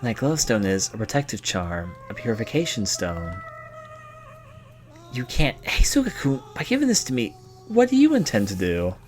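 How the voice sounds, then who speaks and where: A man voicing an earnest, protective young female student in a video game, pleading and halting as he reads the dialogue aloud. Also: medium pitch, sing-song, faint music behind, close to an everyday voice. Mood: alarmed.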